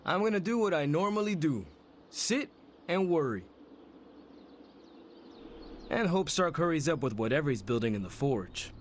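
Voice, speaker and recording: Wry, chatty worrier hero. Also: male voice, fairly high pitch, sing-song, moderate pace, long pauses, a little hiss or hum. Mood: wry, worried. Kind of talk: video game cutscene acting.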